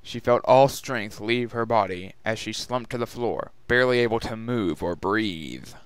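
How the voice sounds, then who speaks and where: A man's voice: fairly low pitch, moderate pace, smooth, no room sound. A man narrating a scripted story as a dramatic reading. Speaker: narrator and reader.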